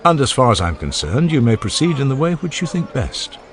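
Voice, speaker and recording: Man voicing a modest, hesitant young pupil, lively pitch. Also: fairly quick, lightly acted. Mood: agreeable. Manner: polite, brief, earnest answers. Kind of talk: dramatized philosophical dialogue.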